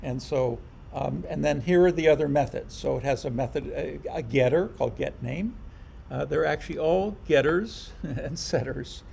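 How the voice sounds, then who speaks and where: A man teaching a programming class in an informal lecture, halting and restarting often, with a dry sense of humor. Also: fairly high pitch, gravelly, a little mellow, a little hiss or hum. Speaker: programming instructor.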